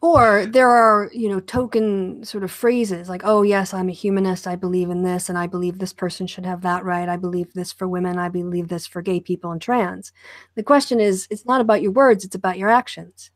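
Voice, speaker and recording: A woman hosting an online discussion, talking conversationally in a measured, questioning way. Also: medium pitch, steady tone, no echo, clean studio sound. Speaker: host of an online video channel. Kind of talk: conversational panel interview.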